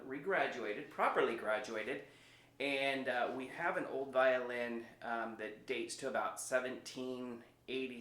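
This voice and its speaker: A man's voice: mid-range voice, a bit bright. A man giving a casual, informal product talk in a storytelling manner, as a presenter introducing an instrument. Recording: echoey room.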